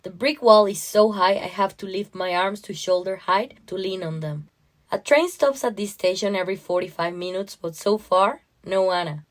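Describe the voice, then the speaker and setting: A woman reading a first-person literary short story aloud, with expressive, emotionally intense delivery. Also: medium pitch, moderate pace.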